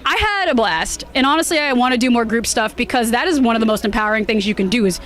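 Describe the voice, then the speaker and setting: A woman with a high voice speaks casually as a guest in an interview. She is expressive and bubbly, talking in an informal, conversational way about her hobby. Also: fast talker. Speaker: cosplayer being interviewed.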